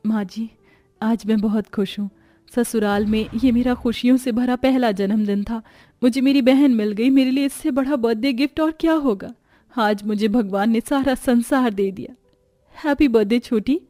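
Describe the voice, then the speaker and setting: A woman voicing a gentle, humble young elder sister in a cartoon story, soft and earnest. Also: fairly high pitch, moderate pace, dry recording, close to an everyday voice. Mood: tearful joy.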